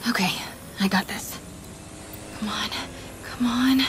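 A woman playing a stubborn, determined young reporter in a video game, speaking in an urgent, hushed way. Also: medium pitch, slow, noisy background, close to an everyday voice. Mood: hushed, focused.

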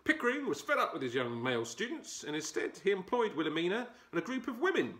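A man in his forties with a high, bright voice and lively swings in pitch. He reads aloud from a children's book indoors, close to the camera, in a playful storytime style with light chat. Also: quick pace. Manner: playful, expressive. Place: furnished living room, indoors.

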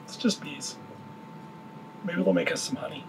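A man's voice: fairly high pitch, big pitch swings, normal speed. A man making dry, laid-back remarks from across a boat, away from the camera. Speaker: sailing vlogger's partner. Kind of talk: offhand casual remarks. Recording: distant from camera, noisy.

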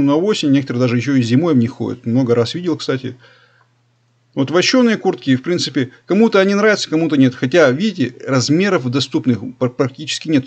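A man's voice: medium pitch, breathy edge. A man in his forties talking casually and enthusiastically as he scrolls through a shop site, repeating his recommendations often. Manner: chatty, repetitive, enthusiastic salesman-like recommending. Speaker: leather belt maker and channel host. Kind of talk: screen-recorded shopping review voice-over.

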